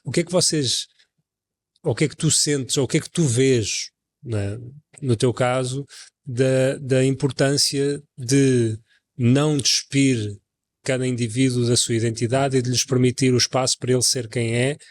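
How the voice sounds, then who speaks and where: A man hosts a casual panel chat, with a voice at an ordinary male pitch. He rambles through anecdotes and long questions, often restarting his sentences. His tone stays conversational. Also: fairly even intonation, normal speed.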